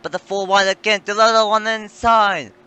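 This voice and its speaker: Man calling a sim race, bright tone. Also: sits high, level tone, a little fast, clear, resonant tone, faint music behind. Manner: rambling, self-correcting live call. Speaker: sim racing commentator. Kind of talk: play-by-play race commentary.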